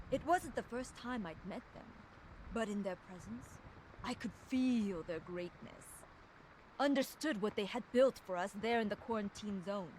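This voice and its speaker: A woman's voice: mid-range voice, slight background noise, natural voice, poor audio. An actress playing a proud, fierce young woman enforcer, delivering a solemn, controlled dramatic monologue for a video game audio log. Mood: solemn, reverent.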